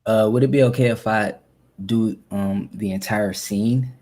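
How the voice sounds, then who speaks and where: A man's voice: on the low side, steady tone, a little slow, a little mellow. A man calling in to audition, talking casually. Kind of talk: casual chat.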